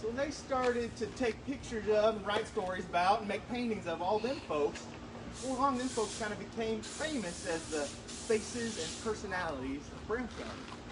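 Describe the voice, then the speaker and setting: A man's voice: high-pitched, normal speed. A man hosting a live show, telling stories to an audience in a folksy, colloquial way with rural turns of phrase. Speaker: puppet show host and storyteller. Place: live show at an art guild event. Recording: distant mic, noisy live event ambience.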